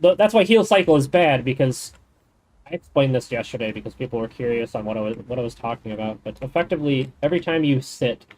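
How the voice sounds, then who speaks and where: A man's voice: medium pitch, animated, fast talker, a little mellow, slight background noise, rough recording. A man streaming a game, chatting casually and explaining the game's mechanics in detail. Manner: rambling, thinks aloud. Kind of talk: casual gaming chat.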